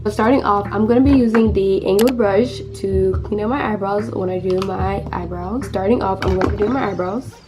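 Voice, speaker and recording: A teenage girl with a hoarse voice from losing it, chatting casually through a makeup tutorial with many fillers and restarts. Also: mid-range voice, a little fast, hardly any pauses, music in the background. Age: teens. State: hoarse, lost voice. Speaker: beauty vlogger.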